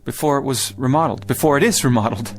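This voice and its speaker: An actor playing a bewildered, urgent man stranded in the past, halting and insistent, in a radio drama. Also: mid-range voice, close to an everyday voice. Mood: awkward, polite. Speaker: lead actor.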